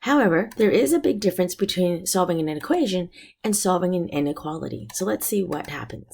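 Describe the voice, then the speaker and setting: A woman teacher explaining a math lesson step by step, her voice raspy and hoarse from being sick. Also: fairly low pitch, lively intonation, fairly quick, darkish tone. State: hoarse from illness.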